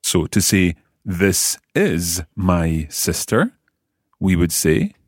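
A man hosting a spoken language lesson, prompting a learner and pausing for listeners in a slow, patient way. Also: low-pitched, very animated, dry recording, studio quality. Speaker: language course host.

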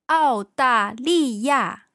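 Female language teacher, native Chinese speaker, very slow deliberate delivery, dry recording. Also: sits high, expressive, a bit bright, no echo. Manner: models words slowly and clearly. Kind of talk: language lesson podcast.

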